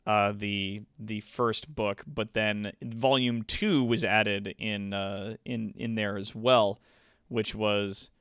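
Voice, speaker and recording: A man chatting as a livestream host. His voice is smooth and unhurried, recorded dry, and he explains in a casual, playful, slightly hesitant way. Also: on the low side, fairly bright tone, dry recording.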